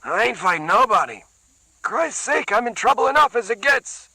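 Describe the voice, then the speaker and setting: A man's voice: very high-pitched, very animated, pushed and bright, dry recording, pitched above the performer's own voice, full character voice, rough recording. A man doing a cornered streetwise young tough. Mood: wary. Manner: slangy, fast-talking, playing innocent.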